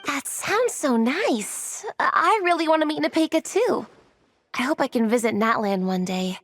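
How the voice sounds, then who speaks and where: A gentle, shy young girl in an anime game. Her high voice is soft and hesitant, rising and dipping wistfully over light music. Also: animated, normal speed.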